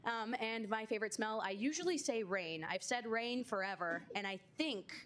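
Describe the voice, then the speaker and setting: A woman's voice: on the high side, normal speed, fairly bright tone. A young woman from Kansas, a college junior, introducing herself in a chatty, playful way. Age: young adult. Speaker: student senator introducing herself.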